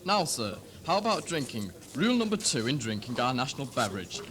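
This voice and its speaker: A man's voice: medium pitch, big pitch swings, a little fast, background noise, lightly acted. A man playing an officious, earnest etiquette teacher, pompously precise and instructional, in a comedy radio play. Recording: 1970s hospital radio recording, music behind.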